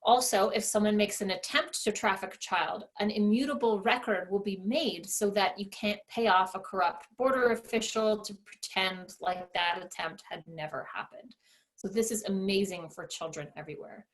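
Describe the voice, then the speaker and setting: A woman's voice: mid-range voice, normal speed, rough recording. A woman giving a presentation, explaining things with enthusiasm. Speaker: conference speaker. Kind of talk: presentation talk.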